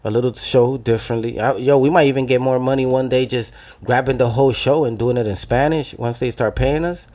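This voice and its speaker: A man's voice: medium pitch, fairly even intonation, brisk, few pauses, a little mellow. A young man with a Dominican American accent from New Jersey, hosting a podcast in loose, profane banter that drops into Spanish. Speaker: podcast host. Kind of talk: casual banter.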